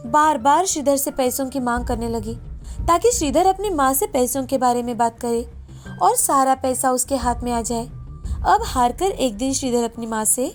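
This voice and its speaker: A woman's voice: high-pitched, animated, normal speed, soft background music. A woman narrating a scripted moral story as storyteller.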